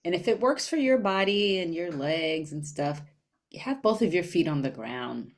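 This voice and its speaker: A woman leading a guided meditation in a gentle, unhurried manner with long pauses. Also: lowish voice, expressive.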